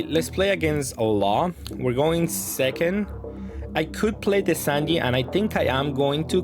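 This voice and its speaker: A man giving casual gameplay commentary, hesitantly thinking aloud as he plays. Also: mid-range voice. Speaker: card game player commentating on own matches.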